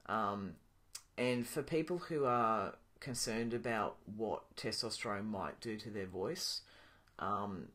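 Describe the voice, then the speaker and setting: A young man talking casually to his camera in a video diary, pausing often with ums and restarting his sentences. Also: fairly low pitch. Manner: relaxed, thinking aloud with many ums and restarts. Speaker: vlogger.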